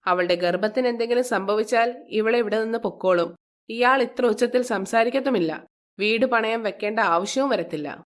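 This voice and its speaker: A woman's voice: a little high, moderate pace, lightly acted. A woman voicing a spiteful, scheming middle-aged stepmother in a moral story, scolding and contemptuous.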